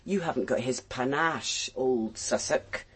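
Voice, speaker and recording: An actress playing a cool, teasing Dutch police detective with a low, husky voice in a radio crime drama. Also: female voice, a little slow, exaggerated voice. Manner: dry, teasing, evasive.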